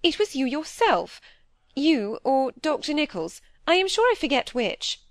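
A woman's voice: on the high side, sing-song, fairly quick, no room sound, voice pushed up. A woman reading an audiobook, voicing a middle-aged wife who is fretful, wheedling and full of plaintive excuses. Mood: flustered, defensive. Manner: plaintive, pouting, evasive.

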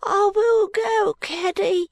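Woman doing a pouting little boy, very high. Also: steady tone, slow pace, gravelly, no echo, full character voice. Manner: pouting, defiant. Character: small sulky little boy.

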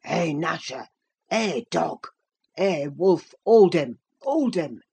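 A woman's voice: low-pitched, animated, slow, gravelly, slightly rough, heavily acted. A woman voicing an ancient, cantankerous male farm servant who speaks in rustic dialect in a classic novel. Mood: alarmed shouting. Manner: muttering and shouting in dialect.

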